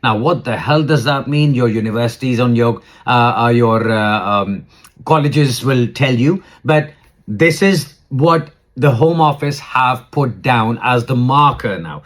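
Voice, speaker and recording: Plain-spoken male immigration adviser. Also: medium pitch. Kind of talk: informal explainer addressed to viewers.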